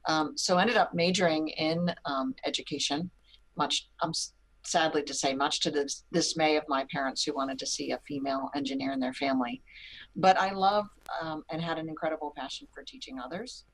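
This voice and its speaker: A woman from Pittsburgh, a museum science educator, speaking candidly at a panel with hesitant pauses. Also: low-pitched, fairly even intonation, rough recording. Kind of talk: panel remarks.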